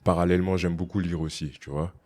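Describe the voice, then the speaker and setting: A young man from Togo, a rapper, chatting casually in an interview, relaxed and slangy, often adding "you see". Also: deep voice, quick pace, slight background noise. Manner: relaxed, slangy, drops English phrases, many "tu vois". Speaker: rapper guest.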